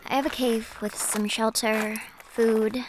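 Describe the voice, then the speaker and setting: A woman playing a gentle, mysterious siren in an audio roleplay, guarded and wistful, speaking softly and with measured care, often slipping into a breathy whisper. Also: on the high side, level tone, noisy background. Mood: calm, urgent. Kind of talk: cinematic audio roleplay acting.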